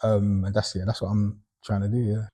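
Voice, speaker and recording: Man, low dark voice, earnest, reflective, casual panel chat. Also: level tone, a little fast. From Britain. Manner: earnest, reflective, uses fillers like innit. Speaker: panel guest. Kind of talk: casual discussion.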